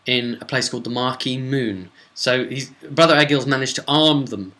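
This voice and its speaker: British man, bright-voiced, chatty rambling host. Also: mid-range voice, fairly even intonation, moderate pace. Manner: rambling, chatty, acts out bits of dialogue. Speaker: host and game master. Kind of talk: casual unscripted recap of a tabletop role-playing game session.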